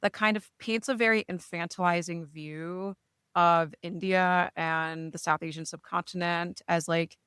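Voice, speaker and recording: A woman's voice: lowish voice, fairly even intonation, unhurried, fairly bright tone, clear, resonant tone, no room sound, studio quality. A woman, a therapist speaking reflectively in a casual podcast chat, often checking in with "right?". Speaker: therapist, guest.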